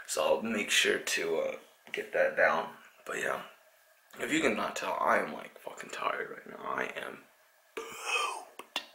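A man's voice: low-pitched, animated, measured pace, gravelly, pauses often, background noise. A young man and student talking casually to a handheld camera in a small bedroom, in a loose, rambling, complaining way. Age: young adult. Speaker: student vlogger. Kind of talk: casual vlog chat to camera. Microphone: handheld camera close to face.

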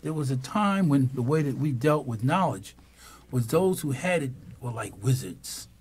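A man's voice: mid-range voice, expressive, moderate pace, darkish tone, a little hiss or hum. An older man hosting a radio talk show from a small studio, musing at length into a studio mic. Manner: musing, speechifying. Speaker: radio host. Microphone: studio mic on boom.

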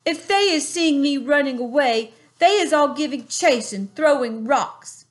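A woman voicing a gentle, friendly male giant who speaks in garbled grammar. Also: high-pitched, lively intonation, a little slow, pitched above the performer's own voice. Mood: earnest. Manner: mangled grammar, earnest pleading.

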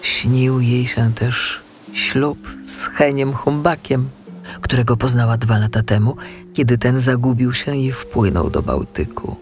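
A man narrating a scripted children's audiobook in an expressive, measured storytelling manner. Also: mid-range voice.

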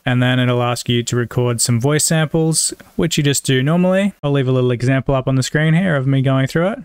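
A man with an Australian accent, giving a casual software tutorial as a voice-over. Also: mid-range voice, fairly quick, clear, resonant tone, dry recording, studio quality. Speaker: tutorial presenter.

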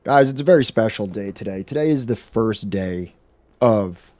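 Relaxed thirties man chatting on stream. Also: on the low side, normal speed, no room sound. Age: 30s. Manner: relaxed, thanks viewers, many ums. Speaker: co-host of a history reading stream. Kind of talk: casual livestream chat. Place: room at home.

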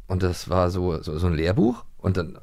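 Male podcast co-host, 30s, low and expressive, warm-hearted and enthusiastic. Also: normal speed, gravelly. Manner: enthusiastic, nostalgic, chuckling. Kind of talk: casual chat.

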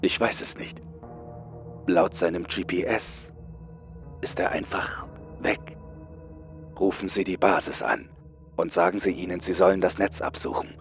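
A man's voice: low voice, a little slow, creaky, pauses often, soft background music. A man voicing an authoritative male astronaut commander in a horror audiobook, giving urgent, clipped orders. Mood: tense, urgent. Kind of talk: dramatised horror audiobook dialogue.

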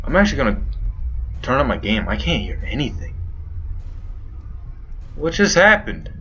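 A man's voice: medium pitch, sing-song, moderate pace, bright, forceful tone, highs cut off, faint music behind. A young man doing rambling, joking commentary while playing a horror game. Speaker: gaming youtuber. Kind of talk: let's play commentary.

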